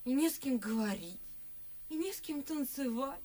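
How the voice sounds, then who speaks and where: An actress playing a haughty, disdainful young countess who complains querulously in a theatrical radio play. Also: female voice, high-pitched, lively intonation, moderate pace, dark tone. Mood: disdainful, peevish.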